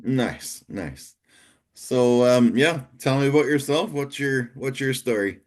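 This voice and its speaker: A man's voice: medium pitch, slow. A man in his forties hosting a podcast, talking in an easygoing, candid way. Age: 40s. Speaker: podcast host. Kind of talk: casual interview chat.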